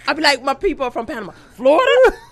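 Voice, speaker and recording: A woman in her thirties from New York, an attorney chatting casually, animated and laughing as she acts out what people say. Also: high voice, very fast. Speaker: attorney, guest. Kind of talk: casual podcast chat.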